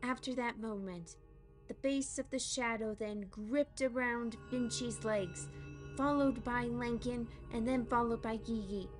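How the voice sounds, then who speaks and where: A woman narrating a fantasy audio drama in a slow, soft, measured way. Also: on the high side.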